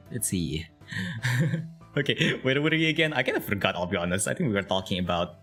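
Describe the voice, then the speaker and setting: A man's voice: on the low side, very animated, unhurried, long pauses, fairly bright tone, slightly rough, faint music behind. A man hosting a casual interview stream, laughing often and filling his talk with "like" and "uh". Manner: giggly, filler-heavy, lots of "like". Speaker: interview show host. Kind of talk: casual chat over a video call.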